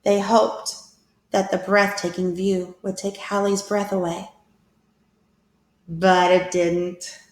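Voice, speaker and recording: A woman reading a picture book aloud to children as a teacher, with expressive, deliberate storytelling. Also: medium pitch. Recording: echoey room.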